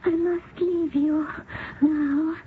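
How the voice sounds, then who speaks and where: An actress playing a dying young Indian princess who speaks faintly and haltingly. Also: female voice, high-pitched, steady tone. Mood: fading, devoted. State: weak, dying. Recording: old radio broadcast, phone-like band with faint hiss.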